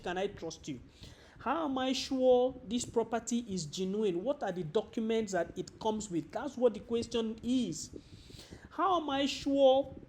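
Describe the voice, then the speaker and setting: A man with a Nigerian accent giving a training presentation as a sales coach, instructive and deliberate, posing rhetorical questions. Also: high-pitched, a little mellow.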